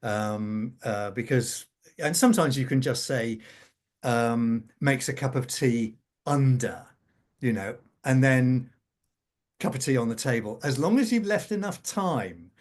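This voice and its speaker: A man speaking as a scriptwriter on a panel, explaining things conversationally with halting, repeated phrases. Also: mid-range voice, lively intonation, darkish tone. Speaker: radio drama scriptwriter, panel guest.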